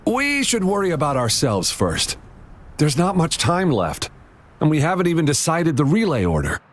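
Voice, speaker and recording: A man playing an eager, excitable young rookie racer in a video game, with a boyish, exclamatory manner. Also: a little high, normal speed. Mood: surprised, eager.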